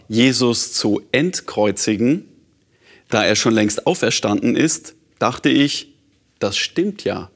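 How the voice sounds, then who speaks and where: A man presenting a program, introducing and reading listener letters in a calm, earnest way. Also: mid-range voice, vocal fry.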